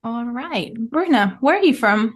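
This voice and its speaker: A young woman, an English teacher, hosting and examining a mock speaking exam with clear, encouraging delivery. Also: a little high, lively intonation, measured pace.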